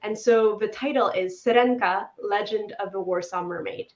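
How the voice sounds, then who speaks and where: A woman's voice: medium pitch, normal speed. A woman in her thirties from Poland, an animator chatting upbeat and enthusiastic as an interview guest over a video call. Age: 30s. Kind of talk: casual interview chat. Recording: echoey room, dull lo-fi sound.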